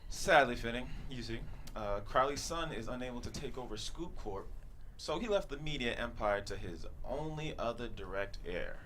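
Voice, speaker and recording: A man acting a flustered, awkward corporate lawyer in a comedy table read, halting and fussily formal. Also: medium pitch, expressive, a bit bright, slight background noise, lightly acted. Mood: grave, halting.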